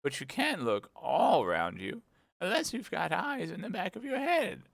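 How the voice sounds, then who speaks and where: A prim old sheep shopkeeper who knits and talks in a high, drawn-out voice with a storybook edge, as a man puts her on. The tone is dry and close, with some gravel underneath. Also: huge pitch swings, fairly bright tone, dry recording, heavily acted.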